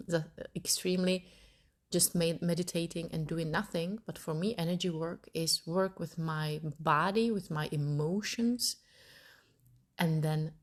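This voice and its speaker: A woman in her thirties from Italy, hosting her own podcast and thinking aloud as she searches for her words. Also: low-pitched. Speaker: podcast host. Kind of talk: personal podcast monologue.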